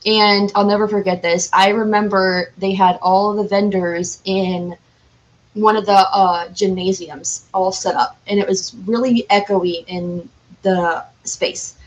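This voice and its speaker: A woman with a medium-pitched voice talks as a guest in a casual interview. She is enthusiastic and informal, with a giggly streak. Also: steady tone, unhurried, poor audio. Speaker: podcast guest, cosplayer.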